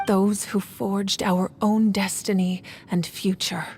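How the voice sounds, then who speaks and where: A woman playing a steadfast warrior leader in a video game, narrating in a solemn, restrained, measured way. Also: medium pitch, level tone, a little mellow, a little hiss or hum. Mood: solemn, resolute. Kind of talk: scripted dramatic game narration.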